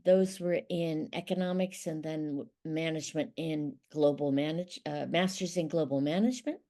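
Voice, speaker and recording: A woman's voice: low-pitched, normal speed, warm tone. A middle-aged woman from Ohio, explaining things methodically as an interview guest. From Ohio, United States. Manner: explanatory, methodical, occasional restarts. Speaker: interview guest, business consultant. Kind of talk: conversational interview.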